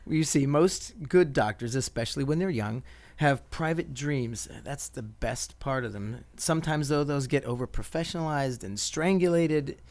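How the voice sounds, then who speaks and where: Actor playing an earnest, courteous young doctor. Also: male voice, mid-range voice, normal speed, darkish tone, lightly acted. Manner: hesitant, polite, earnest explaining. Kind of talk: radio play romantic drama acting.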